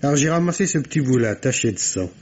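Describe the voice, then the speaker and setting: Actor as lively, easygoing police sidekick. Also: male voice, mid-range voice, quick pace, lightly acted. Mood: reporting, hesitant. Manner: chuckling, hesitant, informal. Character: deferential, easygoing police assistant who likes luxury. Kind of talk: vintage French radio mystery acting. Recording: dull, noisy old radio recording.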